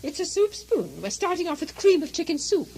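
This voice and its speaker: A woman's voice: extremely high pitch, very animated, a little fast, darkish tone. An actress playing an exasperated queen and brittle hostess in a comic radio drama, theatrical, sardonic and falsely gracious. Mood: dry, scolding. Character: exasperated Lady Macbeth, brittle social hostess covering up. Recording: noisy vintage radio recording.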